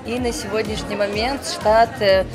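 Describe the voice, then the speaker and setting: A woman's voice: mid-range voice, fairly even intonation, moderate pace, over music, crowd noise. A woman in her late twenties who owns a real estate agency, giving an upbeat, self-assured interview. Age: 20s. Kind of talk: interview answer.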